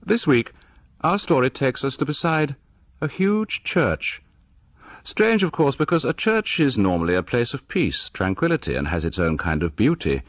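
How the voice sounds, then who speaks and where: An actor playing a sinister, courtly host of a radio horror anthology, theatrical, measured and ominous. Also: male voice, mid-range voice, sing-song, no room sound. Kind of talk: dramatic horror storytelling for radio.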